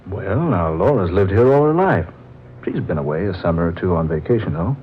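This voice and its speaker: An actor playing a genial, level-headed friend with a folksy manner, in 1940s radio drama style. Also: male voice, sits low, animated, fairly quick. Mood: reassuring, practical. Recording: muffled, noisy old radio recording.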